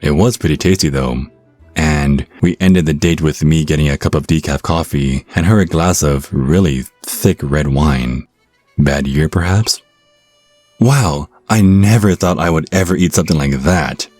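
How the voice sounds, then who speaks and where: Very deep-voiced, wry American guy played by an actor. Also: unhurried, darkish tone, no room sound, faint music behind. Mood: wry, amused, then impressed. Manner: wry, casual, sometimes flustered. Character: easygoing, sarcastic, smitten American man on a date. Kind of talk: audio roleplay acting with first-person narration.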